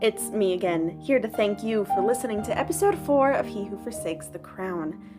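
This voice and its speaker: A woman's voice: mid-range voice, animated, moderate pace. Cheerful female host for a drama podcast outro, casual and joking, piano bed behind. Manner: upbeat and joking. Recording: speech over piano music bed.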